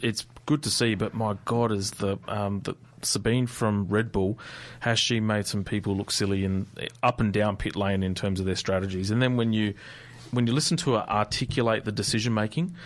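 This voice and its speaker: A man, co-hosting a casual motorsport chat in a radio studio, animated and quick to laugh. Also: fairly low pitch. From Australia. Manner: chatty, laughs easily, animated. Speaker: co-host.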